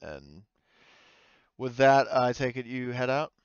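A man narrating an online tabletop game as game master, casual and halting in his delivery. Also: mid-range voice, little pitch movement, smooth, no room sound, dull audio. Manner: hesitant, halting.

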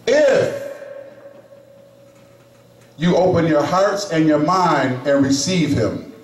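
Middle-aged male lay preacher, slow deliberate delivery, roomy chapel sound from a distant camera. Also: on the high side, lively intonation. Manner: slow, emphatic preaching with pauses. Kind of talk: sermon. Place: small chapel, indoors. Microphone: handheld microphone. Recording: echoey room, camera at a distance.

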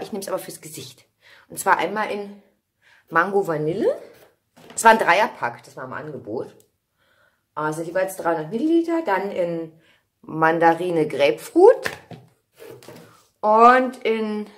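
A woman in her thirties from Germany chats casually to her vlog, rambling and thinking aloud, recorded on a phone at arm's length indoors at home. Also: mid-range voice. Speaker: vlogger. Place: indoors at home, kitchen, hallway and bathroom.

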